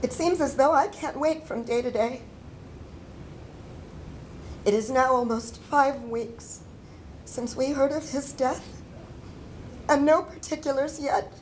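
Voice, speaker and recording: A devout, mournful mother of the Civil War era , played by an older woman, reads her letter aloud on stage. Her voice is fairly high and very slow, solemn and deliberate with grief. A slight rumble sits behind her. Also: rough recording. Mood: grieving. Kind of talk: dramatic letter reading. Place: indoor stage before a projection screen.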